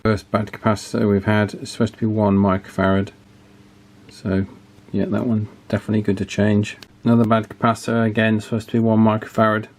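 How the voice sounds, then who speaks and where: Low-voiced man, casual technical commentary. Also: little pitch movement, brisk, darkish tone. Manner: informal, thinking aloud. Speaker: electronics hobbyist presenting a repair video.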